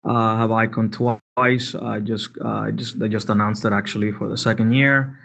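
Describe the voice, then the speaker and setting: A man's voice: fairly low pitch, level tone, normal speed, vocal fry, a bit bright. A man from Cuba introducing himself on a panel in a friendly, casual way. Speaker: panelist, realtor. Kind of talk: conversational self-introduction.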